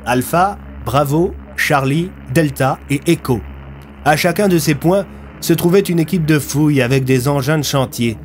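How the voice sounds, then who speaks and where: A man's voice: on the high side, normal speed, slight background noise. A young man telling a scripted mystery story as the dramatic narrator of an audio fiction series. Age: young adult.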